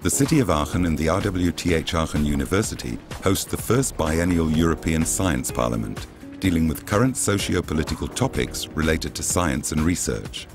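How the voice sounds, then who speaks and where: A man reading a scripted promotional voice-over as a documentary narrator, in a measured, formal and informative way. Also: very deep voice, lively intonation. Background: music bed.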